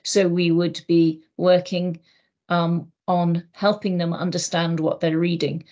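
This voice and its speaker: A middle-aged woman with an English accent speaks in a somewhat low voice, measured and thoughtful, pausing on ums as she explains. She talks from home into a laptop mic on a video call. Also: level tone, a little mellow, lo-fi sound. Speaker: EAL teacher.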